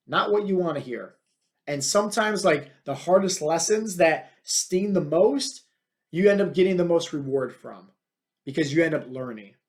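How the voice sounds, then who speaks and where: A man in his thirties, a fitness coach hosting his own podcast, talking bluntly and with many fillers in a motivational monologue. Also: on the high side. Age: 30s. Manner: energetic, filler-heavy, many "like" and "right?".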